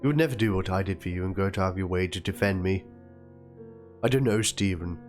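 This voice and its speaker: Man playing a low-voiced, theatrical, wounded woman. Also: brisk, close to an everyday voice. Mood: hurt, pleading. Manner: theatrical, plaintive, spells out chat slang like "lol". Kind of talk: dramatic reading of a written document and chat logs. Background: guitar music.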